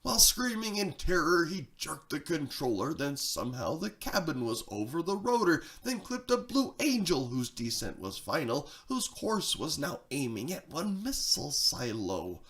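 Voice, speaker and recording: A man reading a rhyming story theatrically, swinging between hushed and excited delivery, with a gravelly creak in the voice. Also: a little high, normal speed, few pauses. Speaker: narrator, storyteller.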